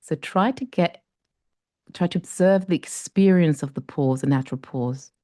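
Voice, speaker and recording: Low, mellow woman guiding a meditation. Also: no echo, clean studio sound. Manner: slow, soft and gentle, with long pauses. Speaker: meditation guide. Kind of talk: guided breathing meditation.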